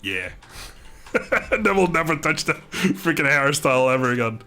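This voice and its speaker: A man's voice: fairly high pitch, very animated, normal speed, bright, forceful tone. A man casually commentating a video game on a live stream, chatting with viewers in a rambling, good-humoured way.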